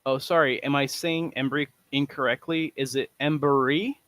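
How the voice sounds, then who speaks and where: A man with a Portuguese accent, a native Portuguese speaker, doing live esports commentary with animated delivery and frequent stumbling restarts. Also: medium pitch, normal speed, dry recording. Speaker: esports caster.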